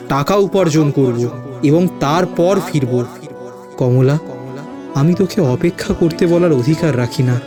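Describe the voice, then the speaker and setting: A man playing an anguished young village lover voicing his farewell letter in an audio story, earnest and pleading, with music behind. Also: fairly high pitch. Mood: tender, sorrowful.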